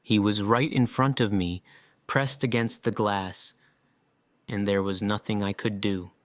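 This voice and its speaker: A man acting an earnest, guilt-ridden survivor in an audio drama, with halting, emotional delivery. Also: lowish voice, steady tone, no echo, natural voice. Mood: distraught.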